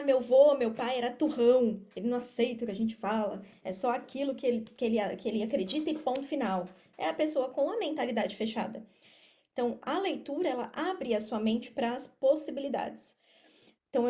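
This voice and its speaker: A woman's voice: a little high, dark tone. A Brazilian woman in her thirties speaking Portuguese with a Brazilian accent, explaining things informally as an interview guest, with emphatic repetition. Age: 30s. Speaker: podcast guest, affiliate marketer and mentor. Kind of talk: conversational interview answers.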